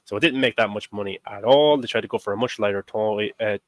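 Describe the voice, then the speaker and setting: A man's voice: on the low side, fast talker, dry recording, studio quality. A young man in his twenties hosting a casual film discussion, rambling through facts with lots of fillers and little laughs. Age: 20s. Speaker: host.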